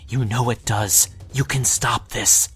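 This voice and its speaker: Young male mind mage, played by a man, fantasy audio drama: urgent, persuasive, hushed, heavily breathy delivery. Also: medium pitch, fairly even intonation, faint music behind, heavily acted. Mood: desperate pleading. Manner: halting, hushed, insistent.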